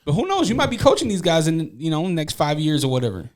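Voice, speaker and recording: A man's voice: fairly high pitch, wildly swooping pitch, quick pace. Casual American man hosting a podcast. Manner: informal, slangy. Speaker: podcast host, interviewer.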